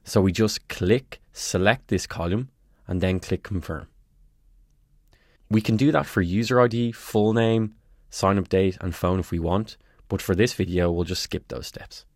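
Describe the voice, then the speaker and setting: A man presenting a scripted tutorial, giving clear step-by-step instructions in a close, dry voice-over recording. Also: sits low, measured pace, a little mellow, dry recording.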